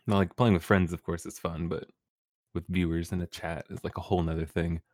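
Deep-voiced man, laid-back and chatty. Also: fairly quick, dry recording, clean studio sound. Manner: relaxed, laughs often, rambling restarts. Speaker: podcast guest, streamer. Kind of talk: casual interview chat.

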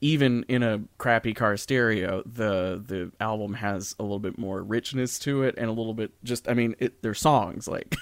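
A man with a fairly low voice co-hosts a casual discussion podcast. He is informal and hesitant, working out his thoughts as he speaks. The sound is very dry, with no room echo. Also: normal speed. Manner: informal, hesitant, thinking aloud. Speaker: podcast co-host. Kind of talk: casual discussion of music and theatre.

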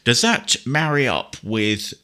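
A man presenting a casual software tutorial in a conversational, explanatory way. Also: mid-range voice, very animated, slow. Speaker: presenter demonstrating screen reader software.

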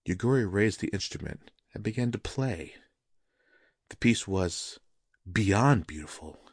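A man narrating literary fiction as an audiobook reader, in a measured, solemn and dramatic manner. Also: on the low side, lively intonation, slightly rough, no echo, studio quality.

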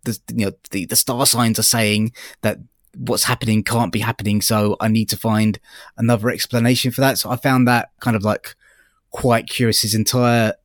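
A man's voice: fairly low pitch, dry recording, clean studio sound. A man co-hosting a podcast, chatting casually in a hesitant, rambling way as he thinks aloud. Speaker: podcast co-host reviewing audiobooks. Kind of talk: casual discussion.

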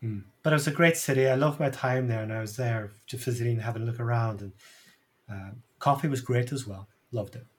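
A man with a mid-range voice co-hosts an interview in a relaxed, chatty manner. He sounds upbeat and easygoing as he tells stories. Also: mid-range voice, a little fast, slight background noise. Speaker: podcast co-host. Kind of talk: casual chat.

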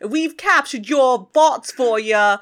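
A woman's voice: sits high, takes it slowly, a bit bright, voice pushed up. A woman voicing a brash young female robot gang leader with a put-on Cockney accent, boisterous and laughing.

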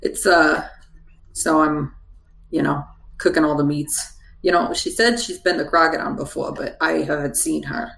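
Tabletop player as elderly gnome woman cook: creaky, folksy, rambling, in a roomy space. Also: medium pitch, normal speed, a bit bright, a little hiss or hum. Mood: chatty, guarded. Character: chatty ninety-year-old woman gnome cook, genially evasive. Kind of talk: improvised in-character tabletop roleplay. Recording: roomy echo, faint music behind.

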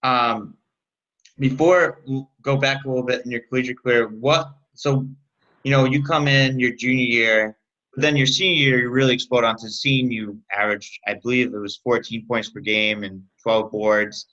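A man's voice: mid-range voice, fairly even intonation, normal speed, pushed and bright. A man interviewing a basketball player, asking long questions that he often restarts. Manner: fumbling, restarts his questions. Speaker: interviewer, host. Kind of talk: sports interview. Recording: dull lo-fi recording.

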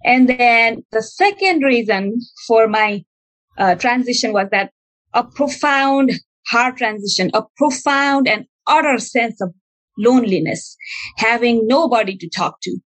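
Earnest woman, fairly high voice. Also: expressive, a bit bright, no room sound, low-quality recording. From Nepal. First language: Nepali. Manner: earnest and reflective, with frequent pauses and fillers. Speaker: speaker sharing a personal testimony. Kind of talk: personal storytelling talk.

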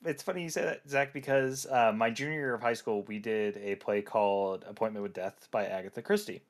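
A man's voice: lowish voice, fairly even intonation, fairly quick. A young man hosting a podcast who chats casually, rambling through stories and laughing easily. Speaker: podcast host.

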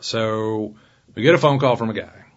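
A man in his thirties with a fairly low voice chats easily as a podcast guest, circling back and restarting lines as he works out his point. The recording sounds a little muffled. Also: normal speed. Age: 30s. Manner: relaxed, restarts sentences while thinking aloud. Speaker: guest attorney. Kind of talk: casual podcast interview.

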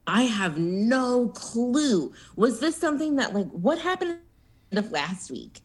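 A woman co-hosting a podcast in casual chat, animated and giggly, with lively storytelling asides. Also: a little high, slow pace, husky. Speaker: podcast co-host.

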